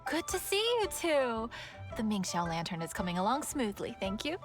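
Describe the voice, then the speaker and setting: A woman's voice: fairly high pitch, sing-song, moderate pace, over music. A woman playing a friendly, businesslike young festival organizer in an anime video game, explaining things politely. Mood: pleased, welcoming.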